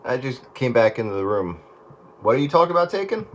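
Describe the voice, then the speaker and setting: A man's voice: mid-range voice, big pitch swings, fast talker, background noise. A man chatting casually over the radio, rambling and correcting himself as he goes.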